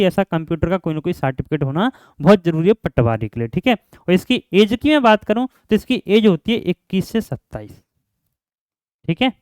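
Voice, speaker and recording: A man's voice: high-pitched, smooth, no room sound. A man explaining like a coaching teacher, often repeating himself and answering his own questions. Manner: repetitive, asks questions and answers them himself, frequent check-in tags. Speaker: exam coaching teacher and channel host. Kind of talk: informal explainer lesson for job aspirants.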